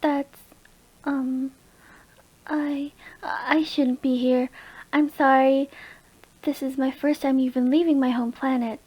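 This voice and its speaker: Woman playing a high-voiced, timid, slow-talking alien girl. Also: flat delivery, highs cut off. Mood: nervous, shy. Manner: hesitant, apologetic, timid. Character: shy, overwhelmed young alien from a farming planet. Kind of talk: audio roleplay monologue.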